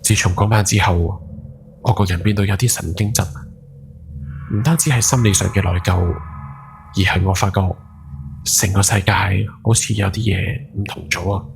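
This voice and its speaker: A man acting as a haunted Hong Kong taxi driver in his forties, speaking Cantonese in a lowish voice over faint music. He confesses his guilt in a brooding first-person horror podcast story, leaning on the dramatic words. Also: steady tone, normal speed, creaky, long pauses. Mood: haunted, remorseful. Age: 40s. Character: haunted guilt-ridden forty-something night taxi driver. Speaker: ghost story podcast narrator. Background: background music.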